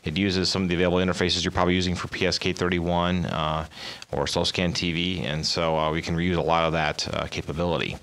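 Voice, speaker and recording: A man with a deep voice walks through a piece of hobby radio software. He speaks casually and haltingly, explaining each piece as a presenter would. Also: little pitch movement. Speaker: amateur radio operator presenting his logging software. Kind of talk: casual software demo walkthrough.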